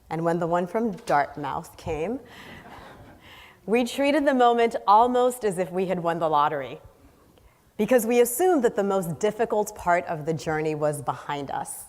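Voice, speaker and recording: A woman's voice: lowish voice, lively intonation, normal speed. A woman born in Haiti giving an earnest, storytelling keynote speech on stage, listing her points emphatically. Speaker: guest speaker. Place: large hall on stage. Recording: long hall reverb.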